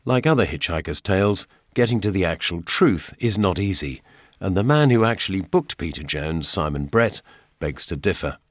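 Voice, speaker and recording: An older man with an English accent presenting a scripted radio documentary in a measured, gently wry way. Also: low-pitched, animated, no room sound, clean studio sound.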